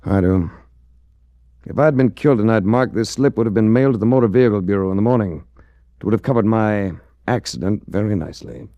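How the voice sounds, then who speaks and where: A man's voice: fairly low pitch, fast talker, no echo, muffled recording, natural voice. An American man playing a suave, shrewd adventurer-detective in a 1940s radio drama, speaking with dry, worldly understatement. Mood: wry, shrewd. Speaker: lead actor and first-person narrator in a radio detective series.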